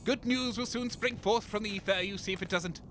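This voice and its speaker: An actor playing a bossy, sarcastic, excitable DJ sidekick in a comedy audio drama, mocking and melodramatic. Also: male voice, high-pitched, a little fast, a little hiss or hum. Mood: dryly scolding. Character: bossy, sarcastic, excitable high-voiced male radio DJ sidekick on the run.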